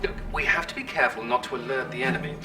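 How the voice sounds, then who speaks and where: A man's voice: mid-range voice, expressive, very fast, distant mic, over music, lightly acted. A man acting as an eager, chatty and cocky tank commander in a video game. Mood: friendly coaching. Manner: jaunty, self-satisfied.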